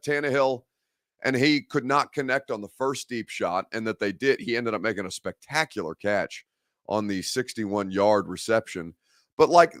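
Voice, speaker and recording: A man hosting a live-stream sports talk show, hoarse and gravelly from a head cold, rambling and conversational as he reads viewer comments. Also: medium pitch, fairly bright tone, no echo, studio quality. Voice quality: gravelly, growly.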